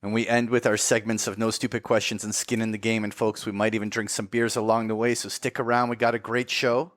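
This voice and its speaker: A man's voice: fairly low pitch, flat delivery, quick pace, fairly bright tone, no room sound. A man co-hosting a podcast, talking casually with lots of fillers, restarts and easy swearing. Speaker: podcast co-host.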